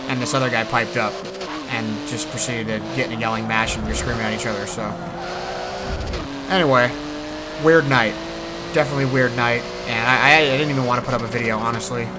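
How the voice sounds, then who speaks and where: Casual man rambling over loud car noise. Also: mid-range voice, steady tone, brisk. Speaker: gaming video host. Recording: loud racing car engine noise behind. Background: racing game car sounds.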